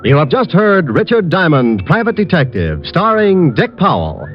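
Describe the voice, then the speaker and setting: A male radio announcer delivers formal vintage credits in a fairly high, animated voice. The old broadcast recording is dull, with faint music behind him. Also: normal speed.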